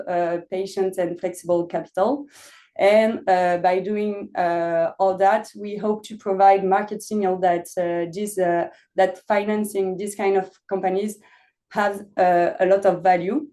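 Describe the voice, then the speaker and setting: A woman with a French accent speaking on a webinar panel, pausing often with fillers as she explains her work. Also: mid-range voice, steady tone, dark tone. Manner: hesitant, many pauses with "uh". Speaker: impact investment officer, panelist. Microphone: video call.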